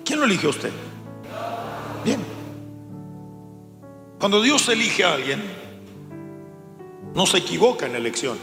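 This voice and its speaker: A man's voice: high-pitched, big pitch swings, a little slow, gravelly, long pauses, sharp tone. A man with a Latin American Spanish accent preaching a sermon, speaking emphatically and addressing his congregation directly with rhetorical questions and repetition. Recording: echoey hall, long reverb, music behind.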